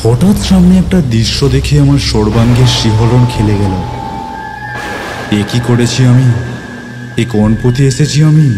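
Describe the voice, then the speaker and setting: A man narrating a ghost story in the first person, in a dramatic, suspenseful way with deliberate pauses. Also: mid-range voice. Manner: suspenseful, theatrical, with deliberate pauses and repeated words. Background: music.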